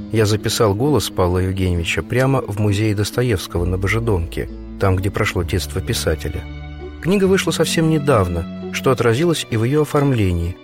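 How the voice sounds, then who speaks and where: A man hosting a radio book segment, reading his scripted commentary in a measured, reflective way. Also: lowish voice. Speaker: radio host. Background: music.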